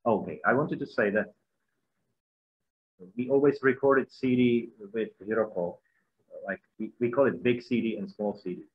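A man's voice: on the low side, normal speed, breathy edge. A middle-aged man with a Czech accent, a musician talking earnestly as a guest on a webinar video call, repeating words as he goes. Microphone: video call laptop mic. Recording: dull lo-fi video call audio.

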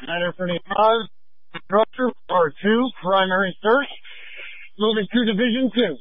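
A man's voice: high voice, measured pace, no room sound, narrow, tinny audio. A man giving clipped firefighter reports over a two-way radio.

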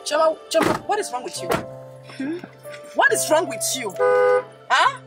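A woman playing a hot-tempered, money-proud Nigerian woman in a comedy skit, scolding in loud, indignant, repeated phrases with a Nigerian accent. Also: extremely high pitch, big pitch swings, unhurried, over loud music, heavily acted. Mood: scornful scolding.

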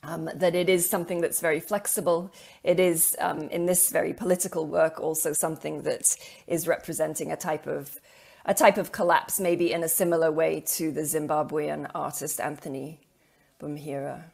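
A woman's voice: deep voice, fairly even intonation, moderate pace, gravelly, darkish tone, husky. A middle-aged woman giving an academic lecture on art over slides, thoughtful and hesitant, with many ums and repeated words, on a video call. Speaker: keynote lecturer.

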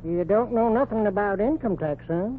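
An actor playing a plainspoken, homespun ticket clerk from a small town in a 1940s radio comedy. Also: male voice, high voice, moderate pace, close to an everyday voice. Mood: folksy, matter-of-fact. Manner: homespun, blunt, laconic. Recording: tinny, noisy 1940s radio recording.

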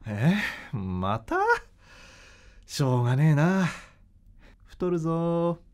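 A man's voice: fairly high pitch, big pitch swings, extremely slow, darkish tone, full character voice. A man acting in a radio drama as an earnest young doctor in love, speaking informally and from the heart. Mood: teasing. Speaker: voice actor.

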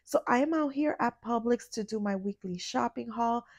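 A young woman recovering from a cold talks through a grocery couponing haul in a relaxed voiceover. Her voice is raspy with a gravelly fry. The recording is dry and close. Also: fairly high pitch, normal speed, no room sound. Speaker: couponing vlogger. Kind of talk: casual shopping voiceover. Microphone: voiceover mic.

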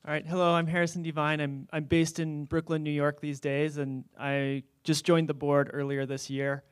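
Casting note: male board member, even and monotone delivery, clean dry sound. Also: on the high side, clean tone, dry recording. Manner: quick, earnest, self-correcting. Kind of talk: conference panel introduction. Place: conference hall on stage. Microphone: handheld mic.

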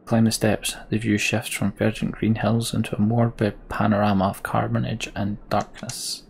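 Low, gravelly man narrating a game. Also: completely flat delivery, normal speed, a little hiss or hum. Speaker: let's play host. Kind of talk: video game commentary and reading of on-screen text.

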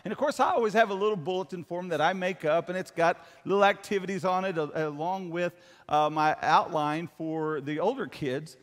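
High-pitched older pastor in a reverberant hall. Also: male voice, fairly bright tone. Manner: folksy, emphatic, seeks amens from listeners. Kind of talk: sermon to a congregation. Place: church hall, speaking from the stage.

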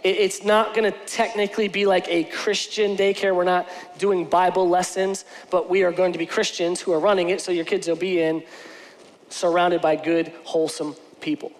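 A man's voice: high voice, flat delivery, normal speed. A man in his forties, a pastor giving a conversational, earnest sermon from a church stage, often restarting his sentences. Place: large church stage with lighting. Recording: church hall reverb.

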